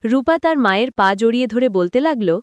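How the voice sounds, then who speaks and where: A woman's voice: fairly high pitch, lively intonation, fairly quick, darkish tone, no echo. A woman reading scripted narration for a cartoon moral story.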